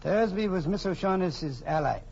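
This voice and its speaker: An actor playing a jovial, pompously polite but menacing crime boss in a 1940s radio drama. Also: male voice, high-pitched, animated, normal speed, vocal fry, darkish tone. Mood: smooth, explanatory. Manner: florid, pompous politeness with chuckling. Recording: muffled, noisy 1940s radio broadcast.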